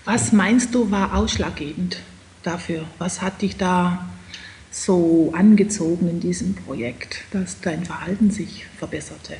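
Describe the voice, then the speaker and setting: A woman's voice: on the low side, measured pace, darkish tone. A woman interviewing a participant, asking plain encouraging questions. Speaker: interviewer, seminar leader.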